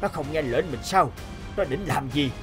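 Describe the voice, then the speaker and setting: A man plays a wicked, scheming cartoon demon with a short temper. The delivery is theatrical and swings widely in pitch, from muttering to barked commands, with music behind. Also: animated, moderate pace, lightly acted. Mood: triumphant, commanding. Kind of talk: cartoon voice acting. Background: background music.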